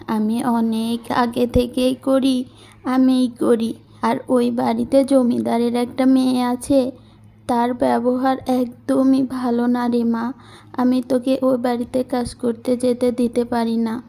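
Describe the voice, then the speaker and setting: Woman as a gentle, mellow ageing village mother. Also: on the high side, flat delivery, slow, gravelly. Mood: protective, pleading.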